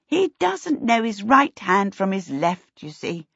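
Woman voicing a brisk, severe witch, lively pitch. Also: vocal fry, breathy edge, no echo, highs cut off, full character voice. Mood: brisk concern. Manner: brisk, dry, emphatic, impatient. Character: brisk, severe, super-efficient middle-aged witch mother. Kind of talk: audiobook character voice.

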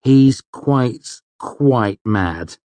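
A clever, intense young male student in an audiobook, played by a man. He speaks with wry, earnest emphasis and an animated pitch, heard close and dry. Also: normal speed, breathy edge, no echo. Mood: exasperated. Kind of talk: audiobook character dialogue.

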